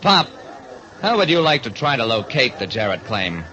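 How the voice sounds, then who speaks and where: A man's voice: medium pitch, sing-song, normal speed, pushed and bright, a faint crowd. A man with an American accent giving dramatic, hard-boiled narration as a detective in old-time radio style. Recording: dull, band-limited recording.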